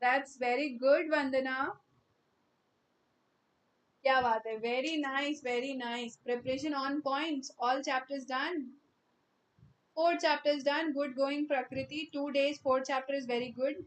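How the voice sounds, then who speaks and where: A young woman teaching maths in a live online class, with an Indian English accent and a high, bright voice. She talks loudly and chattily, greeting students by name and mixing Hindi phrases into her English. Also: steady tone, moderate pace, poor audio.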